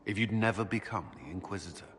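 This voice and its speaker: An actor playing a solemn, devout military commander in a video game, brooding and righteous, with grave, restrained intensity. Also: male voice, on the low side, fast, fairly bright tone, slight background noise, close to an everyday voice. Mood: reflective. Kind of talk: dramatic video game dialogue.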